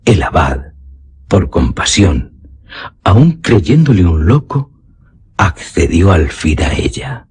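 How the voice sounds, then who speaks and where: A man's voice: sits low, big pitch swings, slow, long pauses, darkish tone, slightly rough, no echo. A man narrating a literary radio drama in a solemn, theatrical, emphatic manner. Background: music.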